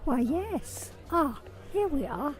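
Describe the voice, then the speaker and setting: A woman plays a coy, mysterious charmer with a fairly high voice that often drops to a breathy near-whisper. Faint music plays behind her. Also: very animated, slow, exaggerated voice. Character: charming mysterious adult woman who gambles. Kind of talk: amateur radio play acting.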